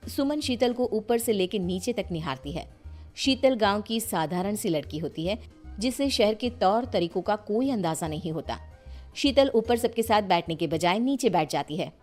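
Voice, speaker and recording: Woman narrator, medium pitch, light music behind. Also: animated, moderate pace, a little mellow. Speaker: story narrator. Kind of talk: scripted storytelling narration in present tense.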